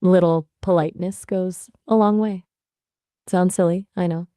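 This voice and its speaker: A woman's voice: lowish voice, fairly even intonation, moderate pace, warm tone, no echo. Breezy female AI assistant voice, playful and upbeat, recorded dry and close. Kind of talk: synthetic assistant reply.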